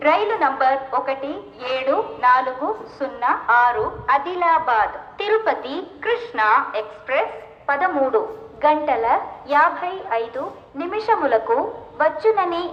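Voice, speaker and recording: An Indian-accented woman making formal automated railway station announcements in a measured, stitched word-by-word delivery, over a public address system. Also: high-pitched, animated. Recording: echoey station PA, muffled, faint noise.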